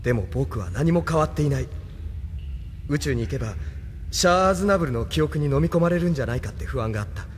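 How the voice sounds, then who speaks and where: A man's voice: fairly high pitch, expressive, fast talker, natural voice. Man acting an earnest young hero, reverberant. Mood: troubled, earnest. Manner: earnest, troubled. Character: earnest, self-doubting young male hero. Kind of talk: Japanese anime audio drama acting. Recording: long hall reverb, faint noise.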